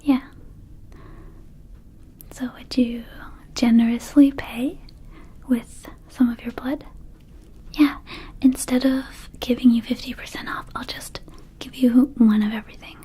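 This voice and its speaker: A woman playing a friendly, mischievous young vampire herb-shop keeper in a soft, soothing ASMR roleplay whisper. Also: a little high, steady tone, a little slow, long pauses, breathy edge. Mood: coaxing. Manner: soothing, coaxing, hesitant asides.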